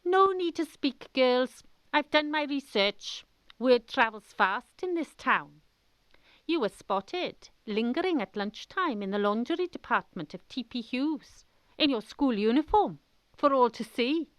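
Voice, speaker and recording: A woman's voice: high-pitched, lively intonation, a little slow, a bit bright, no echo, pitched above the performer's own voice. A woman playing a stern, pompous older headmistress with a Welsh accent in a comic radio drama, imperious and theatrical. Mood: scolding, outraged. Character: stern, pompous older chapel-going headmistress, mock outraged.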